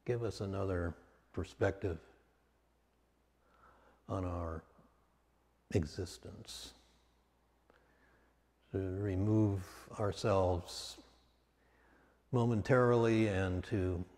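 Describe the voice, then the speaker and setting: A man leading a guided meditation as a teacher, speaking calmly and off the cuff in short, measured phrases with long pauses. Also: low-pitched. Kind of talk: guided meditation, spoken slowly and unscripted. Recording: long reverberant hall sound.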